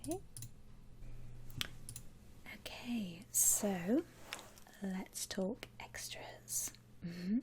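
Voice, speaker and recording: A woman softly whispering in an ASMR roleplay as a polite, reassuring shop assistant helping a customer. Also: medium pitch, lively intonation, very slow, pauses often. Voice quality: soft, breathy whisper. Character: courteous, obliging female coffin saleswoman.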